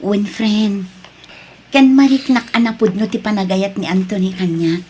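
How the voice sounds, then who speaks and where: A woman playing an earnest working mother in an Ilocano drama, with a husky voice and Ilocano accent. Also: mid-range voice, steady tone, normal speed, a little mellow, a little hiss or hum, lightly acted. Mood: friendly, earnest. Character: Maria, earnest working single mother with a new boyfriend. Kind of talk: Ilocano radio-style drama acting.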